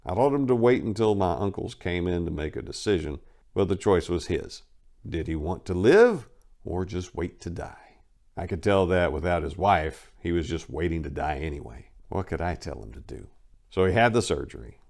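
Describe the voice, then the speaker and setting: A man's voice: low-pitched, expressive, moderate pace, creaky, darkish tone. A man giving a first-person dramatic reading of a memoir, telling it bluntly and wryly with sudden emphatic lifts in his voice. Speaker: narrator reading a memoir aloud.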